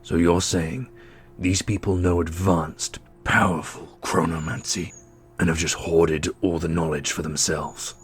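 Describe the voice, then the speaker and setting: Guy doing a deep blunt skeptical dude in a fantasy audio drama, incredulous, music underneath. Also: level tone, unhurried. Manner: incredulous, plain-spoken. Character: blunt, skeptical adult man, demanding answers.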